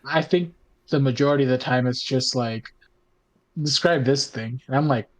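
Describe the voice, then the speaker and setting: Guy on a podcast, mid-pitched, jokey and a bit excitable, casual, swears some. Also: moderate pace, no echo. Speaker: podcast cast member. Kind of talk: casual group chat.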